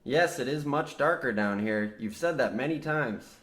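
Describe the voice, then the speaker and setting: A man's voice: medium pitch, expressive, moderate pace, a bit bright, close to an everyday voice. An actor playing a businesslike young mine surveyor, a polite, matter-of-fact straight man in a comedic radio play. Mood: exasperated. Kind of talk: parody of old radio drama acting. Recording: roomy echo, faint noise.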